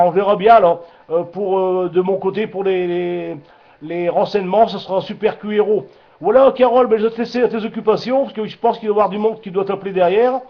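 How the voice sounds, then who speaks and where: High-voiced man on a lo-fi radio. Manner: rambling, repeats himself, frequent filler tags. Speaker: amateur radio operator. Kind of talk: casual radio contact chat. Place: two-way radio contact. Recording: dull lo-fi two-way radio transmission.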